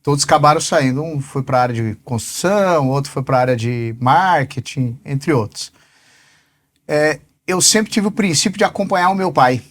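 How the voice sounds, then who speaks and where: A man in his forties, a business director being interviewed, answering in an unhurried way and pausing often on fillers. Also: on the high side, lively intonation, fairly bright tone. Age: 40s. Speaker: podcast guest, company sales director.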